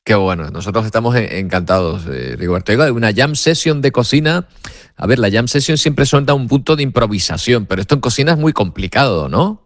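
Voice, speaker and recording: A man's voice: mid-range voice, animated, fairly quick, no echo. A man hosting a radio interview, asking questions in a conversational way. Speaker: radio host.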